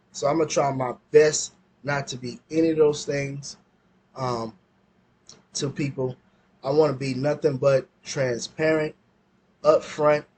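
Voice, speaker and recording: Brief: man in his forties, mid-pitched, repeats himself while telling a story, faint room noise. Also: darkish tone. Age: 40s. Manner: storytelling, repeats words often. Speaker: business owner. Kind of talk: casual talk to camera.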